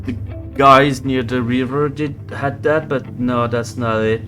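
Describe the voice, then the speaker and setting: A man's voice: mid-range voice, fairly even intonation, a little slow, music in the background, natural voice. A man playing a crude, scruffy young street drunk who swears, scoffs and rambles. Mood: scoffing.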